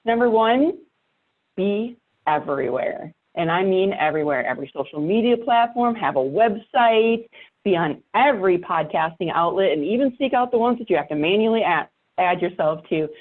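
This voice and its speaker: A woman's voice: medium pitch, animated, normal speed, narrow, tinny audio. A woman podcaster giving advice in casual conversation, blunt and emphatic with a wry edge, over thin call audio. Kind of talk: casual panel chat.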